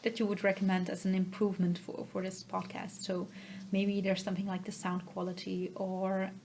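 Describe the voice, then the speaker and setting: A woman's voice: medium pitch, flat delivery, a little mellow, slight background noise. Hesitant Dutch-accented woman chatting casually. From Netherlands. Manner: hesitant, halting, self-effacing. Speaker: podcast host. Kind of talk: casual vlog chat to viewers.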